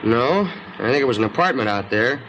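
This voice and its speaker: A nosy, talkative American cab driver for a fifties radio drama. He rattles along in a mid-pitched voice with folksy, slangy phrasing. The vintage recording carries faint background noise. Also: expressive, a little fast, a bit bright, dull audio. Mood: chatty recollection. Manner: colloquial, folksy, inquisitive. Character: chatty, nosy working-class male cab driver. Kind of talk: 1950s radio drama character acting.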